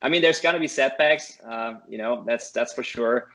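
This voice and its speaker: A man's voice: medium pitch, normal speed, a bit bright, poor audio. A man from Germany with a German accent, a non-native English speaker, earnestly sharing tips as an interview guest and often tagging "right?" onto his points. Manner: earnest, repeats words and adds "right?" often. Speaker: guest, course student. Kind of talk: casual interview over a call.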